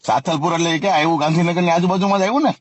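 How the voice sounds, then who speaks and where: A man with a Saurashtra Gujarati accent talks quickly in a high voice on a phone call. He is pushy and confrontational, pressing his point again and again, with a dry, close sound. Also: fairly even intonation, dry recording. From Gujarat, India. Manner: pushy, challenging, insistent.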